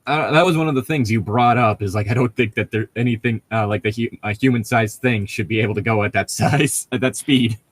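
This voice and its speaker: A man's voice: mid-range voice, brisk, few pauses, no room sound. A man chatting casually as a podcast co-host, explaining things in a nerdy way and often restarting his sentences. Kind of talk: casual chat.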